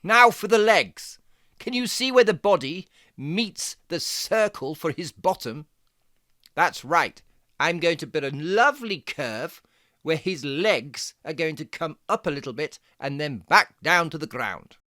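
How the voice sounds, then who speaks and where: Jaunty cartoon fox art teacher, slow n theatrical, voice swooping all over. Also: medium pitch, expressive, sharp tone, dry recording, studio quality. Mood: encouraging, jaunty. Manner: theatrical, deliberate, encouraging. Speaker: presenter of children's drawing tutorial. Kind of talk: playful step-by-step instruction.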